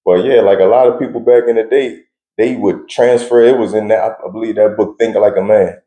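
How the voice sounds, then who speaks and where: A man's voice: fairly low pitch, fairly even intonation, brisk, mellow, echoey room, poor audio. A young man answering interview questions in a laid-back, blunt way with slang and frequent laughs. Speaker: interview guest.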